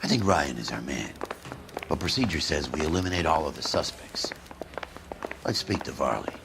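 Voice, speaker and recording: Threatening old-school detective; deep, sardonic, gruff, crime game scene, noisy recording. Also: male voice, animated, normal speed. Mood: matter-of-fact. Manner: sardonic, tough. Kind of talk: dramatic video game acting.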